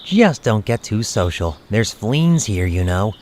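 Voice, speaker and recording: Man as a deep, sardonic little creature. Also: a little slow, a little hiss or hum. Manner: deadpan, sarcastic. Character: dry, sardonic, grumbling male little creature. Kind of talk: audio drama acting.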